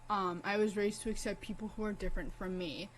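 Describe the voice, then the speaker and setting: A young woman talks over her gameplay in a loose, offhand way, blunt and quick to swear, growing impassioned when something matters to her. Her voice sits at a middle pitch, neither high nor low. A faint hiss lingers under the recording. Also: fairly even intonation. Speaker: game streamer. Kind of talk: casual gameplay commentary.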